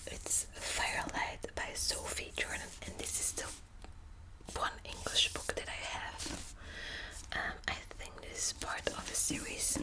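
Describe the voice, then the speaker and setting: A woman's voice: slow pace, poor audio. A woman whispering close to the mic in an ASMR book video, hesitant with many ums and restarts, also reading passages aloud. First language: Dutch. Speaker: ASMR creator.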